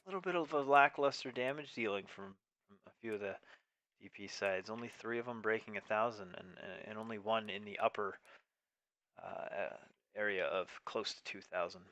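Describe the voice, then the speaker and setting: Low, bright-voiced male game commentator. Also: a little fast, no room sound, highs cut off. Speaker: esports match commentator and streamer. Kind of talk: live tank game esports commentary.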